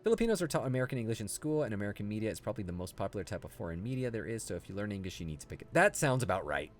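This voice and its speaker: A man's voice: lowish voice, sing-song, racing. A man casually streaming a game, easygoing and chuckling often, chatting and reading viewer comments aloud. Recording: music playing behind the voice.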